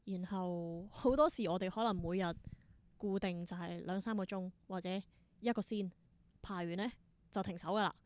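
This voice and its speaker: A woman's voice: fairly low pitch, normal speed, darkish tone, no echo. Young hk cantonese woman on a podcast, chatty storytelling, acts out who said what, really dry sound. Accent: Hong Kong Cantonese. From Hong Kong. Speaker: podcast guest, theatre performer. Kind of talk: casual interview storytelling.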